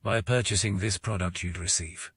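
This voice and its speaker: An actor narrating a fantasy audio game as a calm, measured male storyteller. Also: low voice, slightly rough, dry recording, studio quality, heavily acted. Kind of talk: scripted narration.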